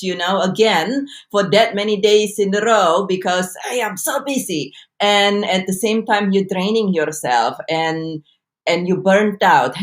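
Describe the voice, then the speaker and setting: A woman from Indonesia with an Indonesian accent, giving earnest advice on a talk show with frequent restarts and light laughs. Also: low voice. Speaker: co-host. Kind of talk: conversational talk show discussion.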